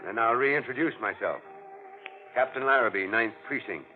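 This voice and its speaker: A man's voice: medium pitch, normal speed, close to an everyday voice. A man playing a dogged, sardonic police captain with a needling, colloquial manner in an old radio thriller. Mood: smug, insistent. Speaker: actor. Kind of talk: 1940s radio thriller acting. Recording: tinny vintage radio, faint music.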